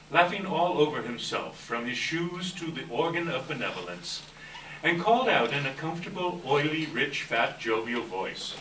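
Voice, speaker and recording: Male narrator, readers theater delivery, forceful and lively, roomy distant recording with faint noise. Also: medium pitch. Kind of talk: dramatic scripted storytelling, live stage reading. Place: live event room, distant microphone. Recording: echoey hall from far off, faint noise.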